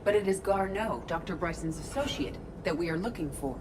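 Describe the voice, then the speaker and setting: A woman's voice: lowish voice, brisk, noisy background, lightly acted. A woman playing a composed, precise, formal squadmate in a video game. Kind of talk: scripted game acting. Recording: loud music bed.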